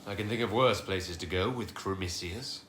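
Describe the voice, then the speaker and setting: An actor playing a self-assured leader of a fantasy order, speaking in a direct, confident way. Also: male voice, low-pitched, moderate pace, fairly bright tone. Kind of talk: video game dialogue acting. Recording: roomy game capture, faint background noise.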